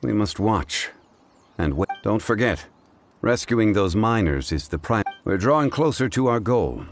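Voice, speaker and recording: A man voicing a calm, learned and dignified gentleman in an anime game, speaking formally, gravely and with measured phrasing. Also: on the low side, slight background noise. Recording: game music behind the voice. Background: clear background music.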